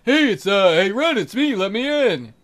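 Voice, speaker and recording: A man's voice: sits high, big pitch swings, moderate pace, a bit bright, pitched above the performer's own voice. A man voicing a cocky, lecherous young wolf suitor in a visual novel, insistent and blustering. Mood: pushy.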